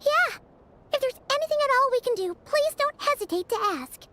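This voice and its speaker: A woman voicing a tiny, childlike, kind-hearted companion character in a video game, with a squeaky voice. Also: lively intonation, a little fast, sharp tone, slight background noise, heavily acted. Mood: concerned, eager to help.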